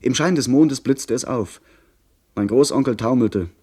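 An actor playing a young gentleman who recounts a pirate adventure as the narrator of a radio play, in measured literary storytelling. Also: mid-range voice, husky. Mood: tense, narrating.

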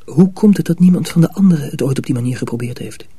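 An actor playing an eager young mill apprentice in a radio drama, breathy and husky, full of urgent questions. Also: male voice, a little high, lively intonation, quick pace, mellow, dry recording. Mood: puzzled. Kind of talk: Dutch radio drama acting.

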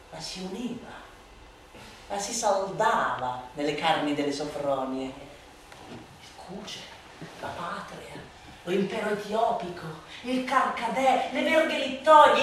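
A woman performing a satirical literary monologue for radio theatre in a declamatory, sardonic manner, swinging between hushed and shouted delivery. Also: mid-range voice. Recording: very echoey room, distant mic, faint noise.

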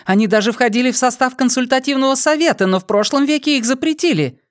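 A man voicing an imperious noblewoman who interrogates a prisoner in an audiobook, with a sharp, commanding manner. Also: extremely high pitch, fast talker, clean tone, no room sound, studio quality, voice pushed up. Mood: urgent, commanding. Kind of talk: audiobook character voice.

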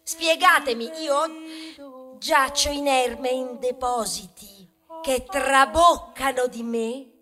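A high-pitched, aggrieved talking wheat grain. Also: female voice, slow pace, bright, forceful tone, exaggerated voice. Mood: pleading, reproachful. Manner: plaintive, conversational asides to the audience, sudden declamatory swells. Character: aggrieved talking grain of wheat, male, proud and self-justifying. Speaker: stage actor performing a monologue. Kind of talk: theatrical satirical monologue, rhetorical, with bursts of song.

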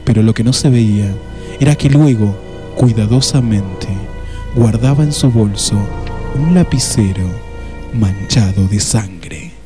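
A man's voice: on the low side, a little slow. An Argentine man narrating a scripted radio drama as its storyteller. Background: faint music.

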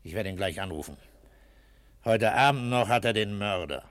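Police inspector, low voice, calm, probing, quiet authority, methodical radio-drama delivery. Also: male voice, moderate pace, faint music behind. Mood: calm, authoritative. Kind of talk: German radio drama acting.

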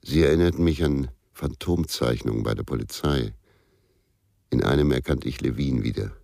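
A man's voice: very deep voice, normal speed, a little mellow. An actor playing a brooding, short-tempered middle-aged ex-policeman who drinks, weary and terse, in a German radio crime drama. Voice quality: gravelly, husky.

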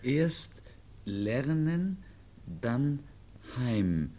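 A gentle foundling youth in a radio play. His voice is dark, soft and husky. He sets down simple childlike words extremely slowly, with long halts between them. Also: medium pitch, very animated, full character voice. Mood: wistful. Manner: halting, childlike simple phrases. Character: gentle teenage foundling pupil learning to speak.

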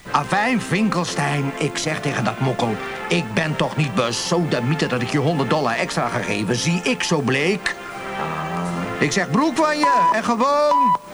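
An actor playing a lecherous, boastful and excitable male customer in a comic radio play, tending to stammer. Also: a little high, moderate pace, loud music behind the voice, close to an everyday voice. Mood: bragging.

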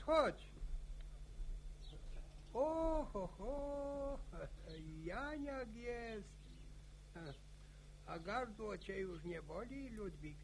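An old village farmer in a radio serial. The voice is gravelly and fairly high, with slow delivery. He rambles warmly and grumbles, stumbling over and repeating words. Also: slight background noise, exaggerated voice, rough recording. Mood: coaxing, fond. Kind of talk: radio drama acting.

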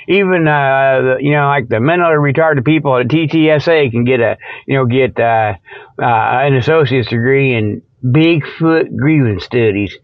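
A man hosting a radio show, delivering a rambling, irreverent comic monologue with mock sales pitches in a folksy, tangent-prone way. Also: dry recording. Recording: dull lo-fi radio sound.

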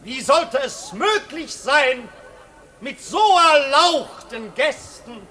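An actor playing a flamboyant marquis who hosts a grand masked ball, speaking grandly and theatrically in 1950s German radio drama style. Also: male voice, very high voice, lively intonation, takes it slowly, pushed and bright, exaggerated voice. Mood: grandiose, ceremonial. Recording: 1950s radio production, music and crowd behind.

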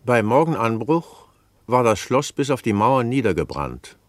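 An actor playing a stern court official in a radio play, making formal declarative statements. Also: male voice, medium pitch, big pitch swings, unhurried. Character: stern male court official stating the accused's case. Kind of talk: scripted radio play narration.

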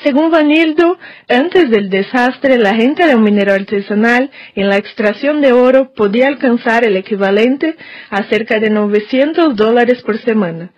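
A woman correspondent reading a formal radio news report in a steady manner. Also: on the high side, moderate pace, dry recording. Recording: dry, dull-toned studio recording.

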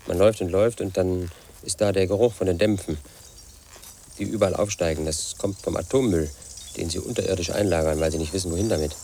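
An actor playing a brooding, paranoid man in his thirties, telling his own story as a flat inner monologue in a radio play. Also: low voice. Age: 30s. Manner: flat, brooding, quietly obsessive.

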